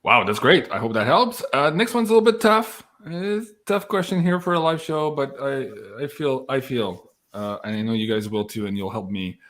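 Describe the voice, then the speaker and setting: A man hosting a live online show in an upbeat, excitable, casual way, reading out viewer questions and announcements. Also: medium pitch, moderate pace, few pauses.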